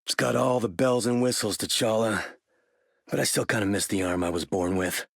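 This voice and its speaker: A weary veteran soldier in a video game. His low voice is gravelly and husky, with a wry, laconic delivery. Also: moderate pace, dry recording, clean studio sound.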